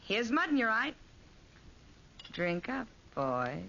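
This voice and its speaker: A worldly young temptress in an old radio play. She is slangy, tough and coy, with lively swings of pitch on a muffled recording. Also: slow, gravelly, exaggerated voice. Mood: sly, inviting. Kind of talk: 1940s radio drama acting.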